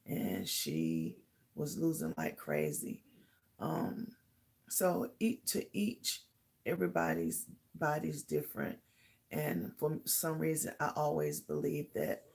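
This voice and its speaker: A middle-aged woman with a slightly raspy voice chatting casually to live viewers as host, halting and pausing as she goes. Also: deep voice, a little mellow. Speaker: host of a livestream talk channel.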